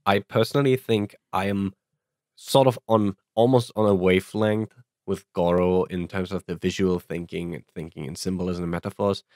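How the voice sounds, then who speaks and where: A man with a low-pitched voice hosts a casual film podcast. He talks reflectively and wordily, and the sound is dry and close. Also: steady tone, normal speed, darkish tone, no room sound. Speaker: podcast host.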